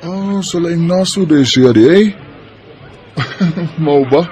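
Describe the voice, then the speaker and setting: A man's voice: on the high side, slow, warm tone. A man acting an earnest, possessive young boyfriend in a Filipino radio drama. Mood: probing.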